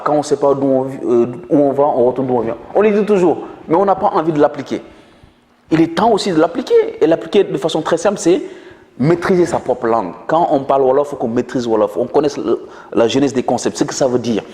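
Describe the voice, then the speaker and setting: A man's voice: a little high, animated, brisk, a little mellow, reverberant, big-room sound. A man whose first language is Manjak, explaining ideas earnestly in an interview, with frequent hesitations. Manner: earnest lecturing tone with many hesitations. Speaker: guest.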